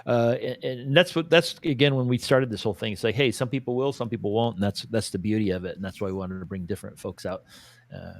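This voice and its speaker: A laid-back man hosting a podcast, with a fairly low voice. The sound is dry and close. He calls his guest "dude" and "brother" and talks with plenty of fillers. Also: fast, no room sound. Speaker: podcast host. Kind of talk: casual conversation.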